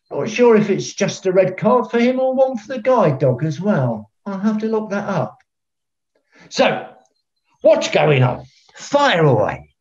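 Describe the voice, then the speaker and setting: An actor playing a pompous, eccentric veteran football referee, theatrical and self-righteous, on a video call. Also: male voice, fairly high pitch. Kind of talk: filmed comedy acting on a video call. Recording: dull, lo-fi video call audio.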